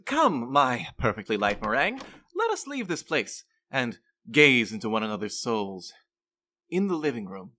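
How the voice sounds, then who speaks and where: A man's voice: mid-range voice, sing-song, normal speed, sharp tone. A man acting an overblown, lovesick suitor gushing endearments in a comedic audio drama. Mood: besotted.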